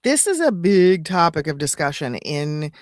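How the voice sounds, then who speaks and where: A woman's voice: low voice, very animated, normal speed, dry recording. A woman co-hosting as a coach in a casual live chat, explaining things step by step in an agreeable way on a close mic. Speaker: auditioning coach and co-host.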